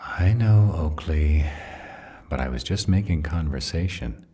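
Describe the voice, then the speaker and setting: Fantasy roleplay were-dragon, male actor: rumbling very low, husky, grumbly and easily sidetracked. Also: lively intonation, a little slow, dark tone, no echo, clean studio sound, heavily acted. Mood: curious, playful. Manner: grumbling, conversational asides. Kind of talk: audio roleplay fantasy drama.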